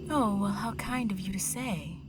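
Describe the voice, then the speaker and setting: A woman playing a seductive, possessive vampire countess in a roleplay audio drama, elegant and teasing, with a breathy delivery that drops into whispers. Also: medium pitch, very noisy, lightly acted. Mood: silky, reassuring. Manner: teasing, condescending, elegant, slow and deliberate. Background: music.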